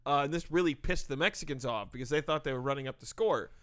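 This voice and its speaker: A man's voice: fairly high pitch, fast, a bit bright. A man hosting a casual comedy sports podcast, delivering updates with asides and frequent laughter. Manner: laughs often, talks through updates with asides.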